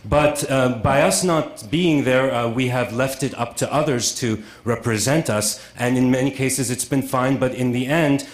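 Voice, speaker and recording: A man giving a conference lecture, speaking haltingly and repeating words with many fillers, in a museum lecture room. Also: mid-range voice, roomy sound.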